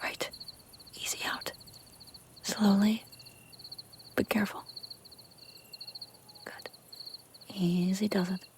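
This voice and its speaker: A woman's voice: medium pitch, little pitch movement, slow, pauses often, slight background noise, close to an everyday voice. A woman playing a brusque, sardonic but secretly caring female bounty hunter in a scripted fantasy audio roleplay, speaking straight to the listener. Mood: urgent, hushed. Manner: sarcastic, teasing, often dropping to hushed urgency.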